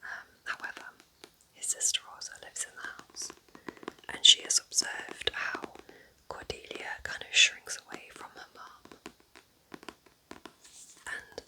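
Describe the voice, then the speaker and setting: A woman whispering softly in a gentle, unhurried and chatty ASMR style, recommending books as in a cosy roleplay. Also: low-quality recording. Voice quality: soft whisper. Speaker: ASMR host playing a kind witch recommending books.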